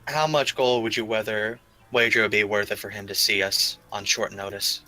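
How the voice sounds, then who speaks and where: A man's voice: lowish voice, flat delivery, moderate pace, sharp tone, low-quality recording. A man chatting and roleplaying casually as a player in a tabletop game.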